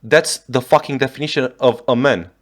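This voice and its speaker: A man's voice: medium pitch, fairly even intonation, normal speed. A man from Romania speaking English with a Romanian accent as a reaction video host, swearing often and restarting his sentences as he rants in exasperation. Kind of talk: ranting reaction commentary.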